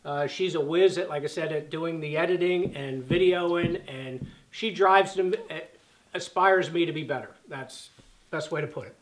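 A man's voice: fairly high pitch, normal speed, poor audio. A man in his fifties chatting casually in a living room, reading fan questions aloud with many fillers and restarts. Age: 50s. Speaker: channel host answering fan questions. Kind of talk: casual chat. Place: living room by a fireplace, sitting on the floor.

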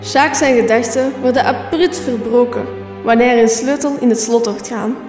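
A woman's voice: a little high, normal speed, darkish tone, natural voice. A woman reading scripted narration for a radio play. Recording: echoey room, faint music.

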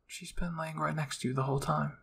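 A man voicing a calm, caring young female soldier with a reassuring manner. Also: fairly high pitch, a little fast, vocal fry, slight background noise.

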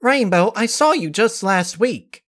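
A man's voice: high voice, lively intonation, a little slow, fairly bright tone, voice pushed up, exaggerated voice. A man voicing an earnest, fussing young country pony daughter in a story reading, with an eager folksy manner. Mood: mildly exasperated. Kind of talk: dramatized fan-fiction reading.

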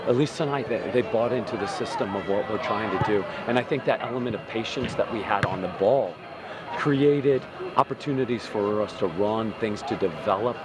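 A man in his forties, a soccer coach giving a postgame interview on a stadium field at night, talking reflectively with many "you know"s and restarts. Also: fairly low pitch. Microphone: handheld camera mic, close framing.

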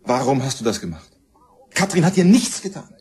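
An actor playing a hot-tempered, indignant murder suspect in a crime radio play, speaking aggressively. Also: male voice, medium pitch, sing-song, a little fast, a little mellow, breathy edge. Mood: outraged. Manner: aggressive, outraged.

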